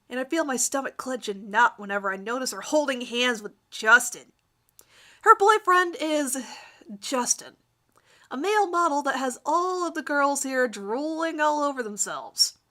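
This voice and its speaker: Vain, smitten schoolboy schemer played by a woman, bright, higher-pitched delivery, overdramatic fan fiction narration. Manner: dramatic, overwrought emphasis. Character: lovestruck, vain teenage boy narrating his scheming crush. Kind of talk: melodramatic first-person fan fiction reading.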